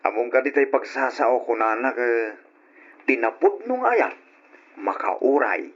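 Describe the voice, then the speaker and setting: A man's voice: mid-range voice, lively intonation, measured pace, husky. An actor playing a jovial, teasing male relative in an old Ilocano radio drama, drawling his words. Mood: teasing, glad. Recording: muffled lo-fi old radio recording with music.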